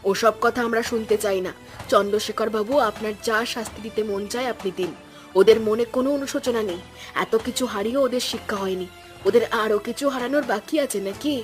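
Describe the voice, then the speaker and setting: A woman's voice: fairly high pitch, quick pace, close to an everyday voice. A woman playing a stern, principled old village woman in a fairy-tale cartoon, speaking in a firm, moralizing way. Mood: stern, unforgiving. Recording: music behind the voice. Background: background music.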